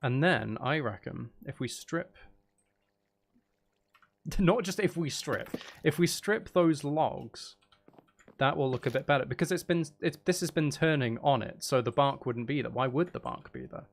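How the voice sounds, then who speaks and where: A man's voice: on the high side, a little slow, vocal fry. A man chatting casually on a gaming livestream, thinking aloud as he builds and bantering playfully with viewers, with some mild swearing. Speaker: livestream host.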